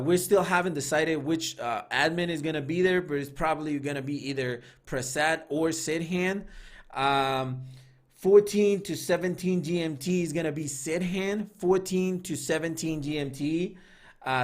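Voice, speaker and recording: Fairly high-voiced man hosting a livestream. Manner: loose and conversational, many fillers and restarts. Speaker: trading signals group host. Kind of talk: casual livestream talk reading live chat.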